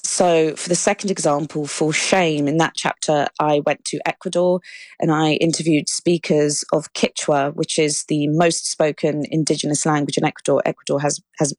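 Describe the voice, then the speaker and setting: Woman author being interviewed, low voice, explains stuff clearly, really dry close sound. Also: a little mellow, no echo. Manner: articulate, explanatory, gives examples. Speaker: author and linguist guest.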